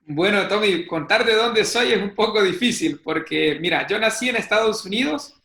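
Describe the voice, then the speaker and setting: Bright young man, echoey. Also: high voice, moderate pace, vocal fry. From El Salvador. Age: young adult. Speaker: student guest. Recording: echoey room.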